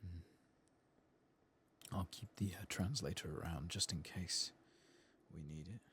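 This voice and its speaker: A man giving soft-spoken, murmured ASMR commentary while playing a video game, with a husky, breathy voice. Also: sits low, slight background noise. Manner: quiet, murmured, unhurried.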